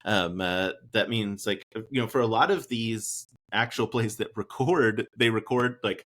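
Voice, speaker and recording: A man hosting a casual interview, rambling and halting with lots of filler words. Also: lowish voice, a bit bright.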